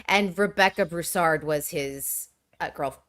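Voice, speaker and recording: A woman's voice: deep voice, unhurried, fairly bright tone. A woman in her thirties, an entertainment journalist joining remotely, chatting in an upbeat way and laughing as she talks. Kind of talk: casual chat. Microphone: remote call.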